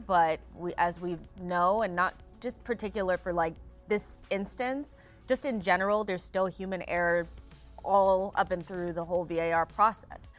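A woman on a sports show panel, sharing her opinion in a conversational way. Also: lowish voice.

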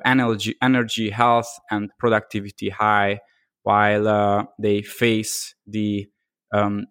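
A man's voice: fairly low pitch, no echo. Guy hosting a podcast, lots of ums and restarts, dry close sound. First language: Hungarian. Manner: halting, many fillers and restarts. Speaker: podcast host. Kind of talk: casual interview chat.

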